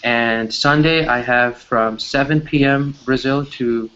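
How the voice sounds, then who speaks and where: Relaxed man teaching English on a video call. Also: medium pitch, takes it slowly. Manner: relaxed, encouraging, explains words. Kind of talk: casual chat with students. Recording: dull lo-fi call audio.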